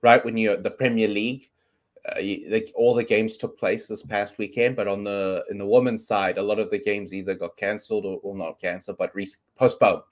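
A man's voice: fairly low pitch, steady tone, fairly quick. A South African man in his thirties, Afrikaans his first language, hosting a casual sports talk show from his bedroom over a video call, rambling with plenty of ums. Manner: chatty, rambling, lots of ums. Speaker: podcast host. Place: bedroom at home. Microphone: webcam on video call.

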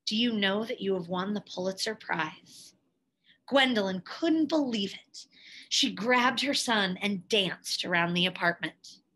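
A woman reading a picture book aloud expressively, in a measured way with dramatic pauses and emphasis. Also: medium pitch, sharp tone, rough recording. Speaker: read-aloud storyteller, teacher.

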